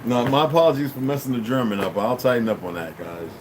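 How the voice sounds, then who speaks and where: A man's voice: medium pitch, fast talker. A man hosting a livestream at home, chatting casually and rambling, thanking donors and often asking "you know what I mean". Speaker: livestream host. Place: room at home. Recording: steady air-conditioner hum behind.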